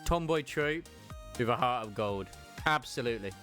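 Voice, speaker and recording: A man's voice: mid-range voice, lively intonation, normal speed, fairly bright tone, faint music behind. A young man reading a game story aloud and chatting enthusiastically about it.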